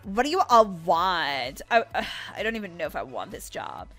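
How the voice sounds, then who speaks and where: Woman acting a sneering, low-pitched donut cashier. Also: sing-song, normal speed, a bit bright, faint music behind. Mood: scornful. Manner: dismissive, sarcastic, drawling. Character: rude, bored, sneering young female donut shop cashier. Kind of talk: improvised video game roleplay skit.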